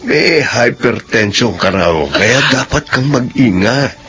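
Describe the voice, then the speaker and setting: A man's voice: medium pitch, lively intonation, slow, breathy edge, exaggerated voice. An actor playing Kuya Conrad, an older brother comforting his sister, in a radio drama. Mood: soothing. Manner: soothing, gentle. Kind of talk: classic radio drama acting.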